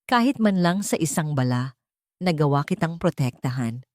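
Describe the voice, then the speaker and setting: A woman voicing a wounded, remorseful male general in an audio story, hoarse, labored and pleading. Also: low voice, animated, moderate pace, a little mellow, no echo, studio quality. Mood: weak, grateful. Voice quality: hoarse, weak.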